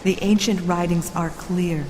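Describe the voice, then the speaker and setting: A woman playing a serene, solemn royal ruler of a heavenly city in an audio drama, speaking in a measured, formal, instructive way. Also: on the low side, fairly even intonation, a little mellow, a little hiss or hum, close to an everyday voice. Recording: long hall reverb with music behind.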